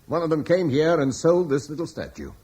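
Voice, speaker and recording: An eloquent Rome-loving landowner. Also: male voice, a little high, very animated, a little fast, dark tone, breathy edge. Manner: earnest, eloquent, persuasive. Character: earnest Romano-British landowner who reveres Rome. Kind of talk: classic radio drama acting.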